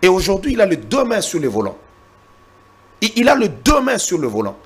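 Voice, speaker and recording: Emphatic man with a husky voice. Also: high-pitched, wildly swooping pitch, brisk. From Congo. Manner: emphatic, repeats words when pressed, cites the Bible. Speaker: interview guest. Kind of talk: heated political debate.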